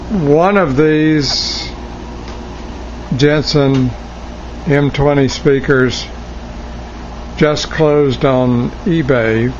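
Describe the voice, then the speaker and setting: A man giving a casual, unscripted show-and-tell as a hobbyist, thinking aloud in a hesitant, halting way. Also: medium pitch, creaky. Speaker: hobbyist restorer of vintage radio gear. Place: cluttered indoor electronics workshop. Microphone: handheld camera mic, behind the lens. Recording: dull lo-fi camera audio, faint noise.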